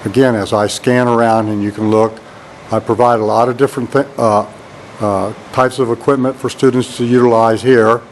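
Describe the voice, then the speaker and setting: A networking instructor with a deep, monotone voice, rambling a little and saying "all right" often. He was recorded on the mic of a handheld camera as he walked through an empty computer lab. A faint hum of air conditioning sits behind him. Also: moderate pace, low-quality recording. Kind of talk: casual classroom tour walkthrough. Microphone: handheld camera mic while walking.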